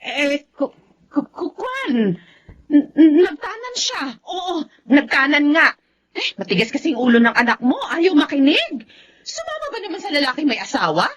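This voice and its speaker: Woman acting high-voiced, stammering deceitful villainess. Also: sing-song, normal speed, highs cut off. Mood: flustered, evasive. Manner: stammering, evasive. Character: shifty, deceitful false friend, a stammering villainess. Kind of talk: Filipino radio-style melodrama acting.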